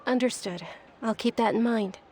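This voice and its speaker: An actress playing a polite, knowledgeable young festival guide in a video game, explaining things with composure. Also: female voice, on the high side, moderate pace, darkish tone, a little hiss or hum, lightly acted. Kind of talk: English video game voice acting.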